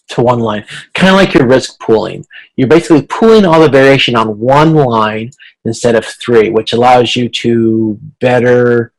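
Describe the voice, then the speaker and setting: Man lecturing informally, halting delivery. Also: mid-range voice, rough recording. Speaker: college instructor. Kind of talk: video conference lecture explaining slides, informal.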